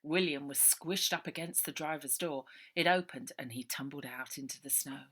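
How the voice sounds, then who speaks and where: A woman author reading her own children's novel aloud as expressive narration. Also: low voice, normal speed, breathy edge.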